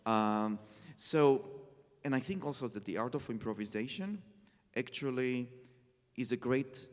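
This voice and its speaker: A man speaking reflectively as a guest on stage, halting and repeating phrases for emphasis. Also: mid-range voice, lively intonation. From Israel. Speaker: interview guest, orchestral cellist. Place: on stage in a concert hall. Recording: reverberant concert hall.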